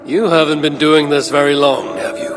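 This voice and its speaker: An actor playing a proud, wry Norse god in middle age in a video game, speaking in measured, dry, archaic phrases. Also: male voice, fairly high pitch, steady tone, music in the background, lightly acted. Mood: bemused. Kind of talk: game cutscene acting. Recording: long hall reverb, faint background noise.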